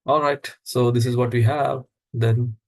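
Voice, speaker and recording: A man's voice: medium pitch, steady tone, unhurried, a little mellow. A man teaching a live coding lesson who walks through each step and asks the class questions as he goes. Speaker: instructor.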